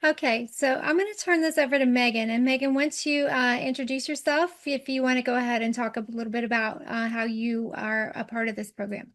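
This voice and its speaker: A woman's voice: on the high side, steady tone, fairly quick, hardly any pauses, a bit bright. A woman hosting an online workshop, moderating introductions in a friendly, encouraging way. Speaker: workshop host.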